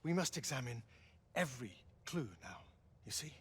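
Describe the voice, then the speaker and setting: An actor playing a courtly, cerebral Victorian police commissioner in a video game, with a British accent and formal, musing phrasing. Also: male voice, mid-range voice, very animated, moderate pace, fairly bright tone, slightly rough, exaggerated voice. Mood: musing, deliberate. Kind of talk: video game cutscene acting.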